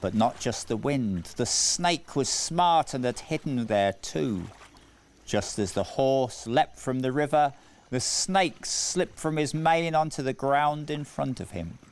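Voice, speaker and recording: A man narrating a scripted children's story in a measured, deliberate way with dramatic phrasing. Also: medium pitch, lively intonation. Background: music.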